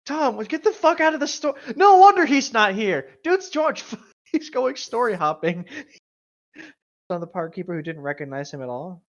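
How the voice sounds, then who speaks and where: A podcast co-host chatting casually and reading a story aloud mockingly, blunt, exasperated and quick to swear. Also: medium pitch, sing-song. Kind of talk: casual banter and mock fanfiction reading. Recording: dull, lo-fi microphone sound.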